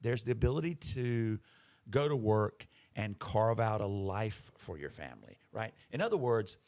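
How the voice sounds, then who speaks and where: A man's voice: lowish voice, lively intonation, normal speed, darkish tone. A man co-hosting a podcast, explaining ideas in casual conversation, emphatic and fond of rhetorical questions. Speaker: podcast co-host.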